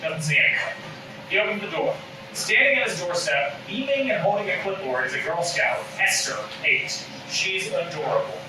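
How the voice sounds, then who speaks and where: A man's voice: high voice, expressive, normal speed. A man reading comic stage directions aloud as narrator at a table read, recorded in a room at a distance. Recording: echoey room, distant mic, noisy.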